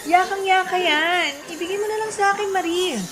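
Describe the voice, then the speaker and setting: A woman playing a spirited young college best friend in a Filipino radio drama, expressive in both story and dialogue. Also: extremely high pitch, brisk. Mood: playful, teasing. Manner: expressive, sentimental or playfully teasing. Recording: prominent music bed under voice.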